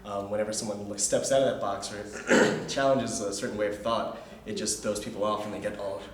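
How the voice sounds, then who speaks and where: A teenage boy, a high school actor, chatting quickly in an interview and leaning on "like". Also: fairly even intonation. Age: teens. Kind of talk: casual interview answers. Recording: echoey room with noise.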